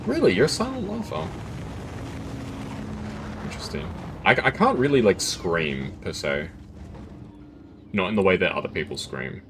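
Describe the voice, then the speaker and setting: A man with a deep voice streaming a game, chatting casually with viewers and thinking aloud as he plays. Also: normal speed, long pauses, background noise. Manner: relaxed, chatty, thinks aloud while reading chat. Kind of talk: casual gameplay chat with viewers.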